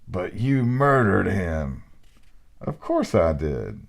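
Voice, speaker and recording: A serene angelic spirit who is calm and composed and in no hurry at all, as a man reading a story puts him on. His voice is deep, low and gentle. He speaks quietly and evenly. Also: slow, creaky, full character voice.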